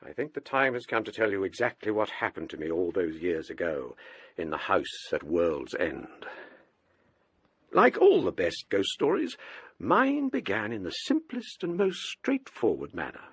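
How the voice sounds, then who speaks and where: A man playing a genial, older English provost who tells ghost stories in a courteous, measured, donnish way in a radio drama. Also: deep voice, wildly swooping pitch, fairly bright tone, breathy edge, dry recording, heavily acted. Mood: intriguing, storytelling. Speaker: actor. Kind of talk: radio drama acting and storytelling.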